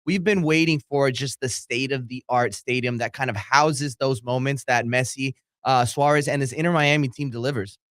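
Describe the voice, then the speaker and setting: A man's voice: medium pitch, fairly even intonation, moderate pace, few pauses, a bit bright, no room sound. Man giving football analysis, dry sound. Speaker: sports show co-host and analyst.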